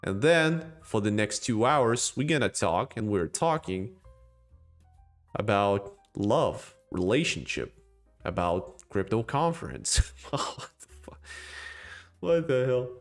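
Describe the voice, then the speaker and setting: A man's voice: on the low side, big pitch swings, slow pace, pauses often, soft background music. A man with a non-native English accent hosting a casual crypto live stream, joking and rambling as he answers chat. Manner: rambling, joking, with laughs and restarts.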